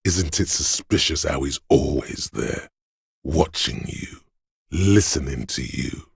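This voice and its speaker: Extremely deep, gravelly, menacing inner voice. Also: male voice, expressive, dry recording, clean studio sound, exaggerated voice. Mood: simmering, suspicious. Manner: brooding, deliberate, ominous, profane. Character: menacing inner voice of a detective's adrenaline and fear. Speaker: video game voice actor. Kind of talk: dramatic second-person game narration.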